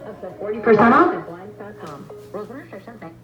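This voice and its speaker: A woman giving an upbeat commercial read as a radio announcer, with a lively sales delivery. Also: mid-range voice, fast talker, distant mic. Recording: muffled, echoey radio broadcast with music.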